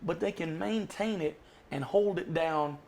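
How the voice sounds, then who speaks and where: A man's voice: a little high, unhurried, gravelly, darkish tone, a little hiss or hum. A man who hosts a jam session and sings, talking casually and emphatically on a podcast. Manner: emphatic storyteller, quotes others in a raised voice. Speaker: jam session host and singer.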